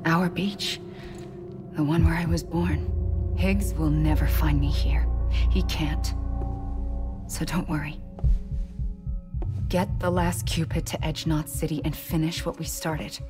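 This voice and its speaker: An actress playing a solemn, secretive woman in a game cutscene, grave and hushed, often slipping into whispers. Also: low voice, fairly even intonation, slow, long pauses, music in the background. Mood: solemn, confessional. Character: solemn, secretive woman confessing her doomsday power. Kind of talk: dramatic game cutscene acting.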